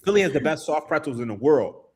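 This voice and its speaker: An animated man, chatting as a podcast guest. His pitch swings widely as he tells stories, and he laughs and swears easily. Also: fast talker, very noisy. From Philadelphia, USA. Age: 30s. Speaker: podcast guest, sports writer. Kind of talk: casual podcast chat.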